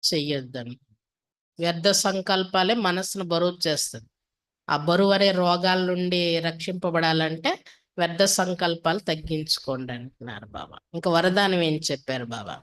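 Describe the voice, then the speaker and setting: A woman delivers a devotional discourse to a class. Her voice sits a little low for a woman. She explains point by point, asking rhetorical questions and returning to set refrains. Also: moderate pace, fairly bright tone. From India. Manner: didactic, with rhetorical questions and repeated attributive refrains. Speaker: spiritual teacher reading and explaining a daily discourse.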